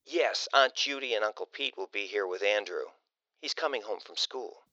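A man's voice: fairly low pitch, normal speed, pushed and bright, no room sound, muffled recording. A man voicing a sarcastic, controlling middle-aged mother with a dry, pointed manner in an audiobook story.

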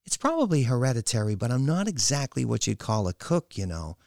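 A man voicing an excitable, defensive young woman with an eager, hesitant manner in an audiobook story. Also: medium pitch, a little fast, gravelly, no echo, clean studio sound, voice pushed up.

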